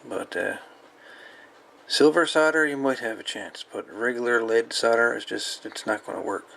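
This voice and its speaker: Gravelly man talking through a repair. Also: medium pitch, fairly bright tone, a little hiss or hum. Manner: halting, folksy, colloquial grammar. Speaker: repair video host. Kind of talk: casual hands-on repair walkthrough. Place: indoor electronics workbench.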